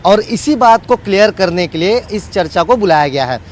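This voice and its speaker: A man's voice: high-pitched, lively intonation, normal speed, slight background noise. A man giving a wordy, unscripted live news report as a field reporter, often repeating set phrases.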